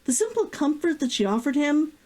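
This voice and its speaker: Animated high-voiced woman narrating fiction. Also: fairly quick. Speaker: author reading own novel aloud. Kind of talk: expressive audiobook-style narration.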